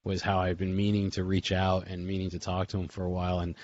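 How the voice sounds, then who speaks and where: Low-voiced man chatting informally, dull lo-fi sound. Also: monotone, fast, a little mellow. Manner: informal, rambling, chuckles between phrases. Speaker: host of a memorial group call. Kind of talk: casual reminiscing group chat. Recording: dull lo-fi online call audio.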